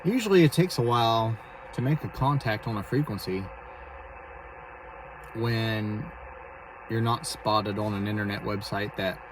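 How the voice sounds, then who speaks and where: A man casually explaining and demonstrating amateur radio to a phone camera, speaking in a hesitant, halting way. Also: lowish voice. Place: inside a car for the later part. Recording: clearly noisy phone video recording.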